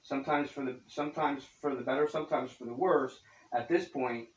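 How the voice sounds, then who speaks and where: Man answering with halting starts. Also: mid-range voice. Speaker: accountant, business partner. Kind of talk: casual interview answers. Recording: roomy echo, distant mic, faint hiss.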